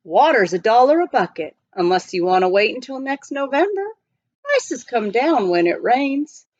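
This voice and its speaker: Woman as amused hotel clerk, lively, high. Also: normal speed, no echo, highs cut off. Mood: amused, matter-of-fact.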